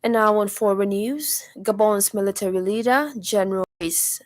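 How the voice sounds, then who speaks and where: A woman's voice: medium pitch, moderate pace, no echo. A woman with a Zambian accent reading a formal scripted radio news bulletin. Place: radio studio.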